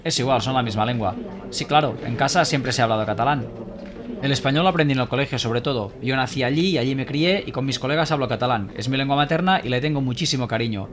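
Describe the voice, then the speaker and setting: A man's voice: mid-range voice, quick pace, a crowd in the background, close to an everyday voice. A young man with a Valencian accent playing an idealistic programmer who dreams of helping others. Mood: eager. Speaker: programmer and volunteer. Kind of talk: scripted dialogue.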